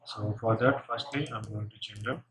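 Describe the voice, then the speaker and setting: A man narrating a step-by-step software tutorial, unscripted and halting as he thinks aloud while working. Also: lowish voice, steady tone. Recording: noisy room, keyboard and mouse clicks.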